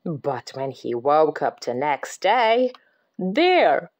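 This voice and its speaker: A woman reading a picture book aloud to children, dramatic and expressive, dropping into hushed whispers at the creepy parts. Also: deep voice, extremely slow, dull audio. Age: adult. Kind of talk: expressive read-aloud storytelling.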